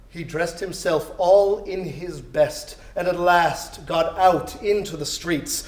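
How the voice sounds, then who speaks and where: A man giving an expressive, theatrical dramatic reading of a Victorian story as a live storyteller. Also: high voice. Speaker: storyteller, amateur stage performer. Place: indoor live reading, roomy. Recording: echoey room.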